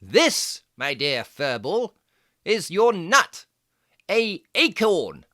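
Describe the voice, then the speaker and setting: A performer voicing a jaunty, fussy fox who gives playful, step-by-step drawing lessons for children in a theatrical, deliberate, encouraging way. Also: medium pitch, sing-song, pushed and bright, no echo, clean studio sound, heavily acted. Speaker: presenter of children's drawing tutorial.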